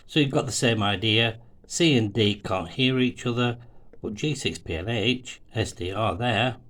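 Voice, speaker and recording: A man's voice: on the low side, a little slow, a bit bright. A man presenting an amateur radio tutorial, explaining methodically step by step over a demonstration.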